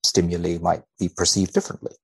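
A man with a very deep, gravelly voice speaks as a researcher guesting on a podcast. His wording is measured and a little formal, whether commenting or reading aloud, and the recording is dry with no room sound. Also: little pitch movement, dry recording.

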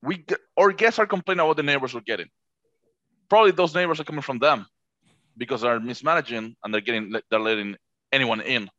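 A man whose first language is Spanish, chatting on a podcast about managing rentals, halting with frequent restarts. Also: medium pitch, pushed and bright, dry recording, muffled recording. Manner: halting, many restarts, laughs easily. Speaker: short-term rental manager. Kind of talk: casual podcast chat.

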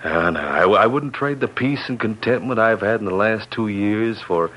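Reformed outlaw turned rancher: fairly low, earnest, folksy old radio western, muffled sound. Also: male voice, normal speed, a bit bright. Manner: sincere, plain-spoken, folksy. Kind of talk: vintage radio western acting. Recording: muffled, slightly noisy vintage radio recording.

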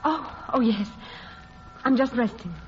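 An actress playing a gentle, guarded woman reunited with a lost love in a classic radio drama, halting yet playful. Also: fairly high pitch, natural voice. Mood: flustered. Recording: muffled old radio broadcast, music behind.

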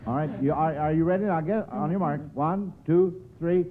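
High-pitched man hosting an old radio quiz. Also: normal speed. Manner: chuckles often, repeats phrases. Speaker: quiz show host. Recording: noisy, tinny old radio broadcast.

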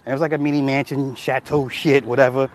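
A man's voice: medium pitch, level tone, fast. Loose, profane man chatting on stream. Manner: loose, profane storytelling, laughing at chat comments. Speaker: livestream host reading chat. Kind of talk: casual livestream chat.

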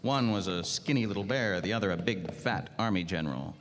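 An actor playing a tough, world-weary noir detective, narrating and talking tersely in 1940s radio style. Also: male voice, sits low, lively intonation, a little fast, a little hiss or hum. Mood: tense narration. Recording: loud music behind the voice.

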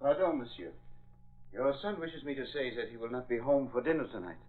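A man's voice: medium pitch, expressive, a little fast, close to an everyday voice. An actor playing a deferential French houseman with a French accent, polite and formal in a 1940s radio drama. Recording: narrow-band vintage radio recording.

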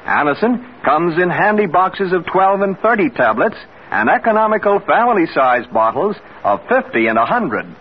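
Animated male vintage radio announcer. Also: high-pitched, normal speed. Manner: formal, emphatic, persuasive. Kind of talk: scripted 1950s radio advertising read. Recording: muffled old radio recording, faint noise.

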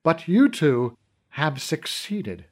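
Man voicing a stately, measured fairy queen. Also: on the high side, very animated, a little mellow, heavily acted. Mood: regal. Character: regal fairy queen, calm and commanding.

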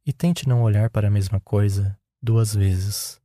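A man with a Brazilian Portuguese accent leading a guided meditation in a calm, unhurried, instructional way. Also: fairly low pitch, dry recording, studio quality.

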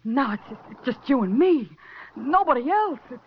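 An actress playing a scheming, desperate woman criminal in a 1940s radio crime drama, sharp and bossy. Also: high-pitched, sing-song, normal speed, slight background noise. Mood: wheedling. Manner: sharp, bossy, then wheedling. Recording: dull lo-fi old radio recording.

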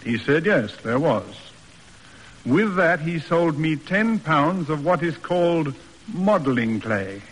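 A man's voice: a little high, very animated, husky, heavily acted. Formal, reverberant old-radio father. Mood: measured, formal. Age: middle-aged. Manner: measured, formal, roundabout. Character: stiff, proper, reserved father in period New England. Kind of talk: classic American radio drama acting. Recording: old radio recording, reverberant, music behind.

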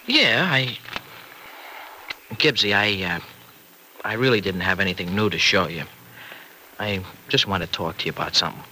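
An actor playing a friendly, earnest young farmer in an old-time radio soap, with a folksy, informal manner. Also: male voice, deep voice, lively intonation, moderate pace, pauses often, bright, forceful tone, full character voice. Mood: earnest, hesitant. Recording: noisy vintage radio recording.

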